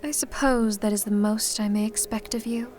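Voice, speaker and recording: A woman playing a gracious, commanding, centuries-old vampire hostess in an ASMR roleplay, speaking formally with gentle teasing. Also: on the high side, fairly even intonation, normal speed, soft background music, close to an everyday voice. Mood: stern.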